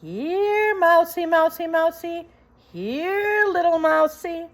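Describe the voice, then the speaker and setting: A woman's voice: very high voice, animated, slow pace, voice pushed up, full character voice. A woman voicing gleeful, hungry storybook rats, calling out in a taunting singsong.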